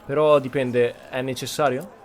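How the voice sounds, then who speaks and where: A man's voice: mid-range voice, steady tone, normal speed, a little hiss or hum. An Italian man giving casual gameplay commentary as the host of a gaming channel.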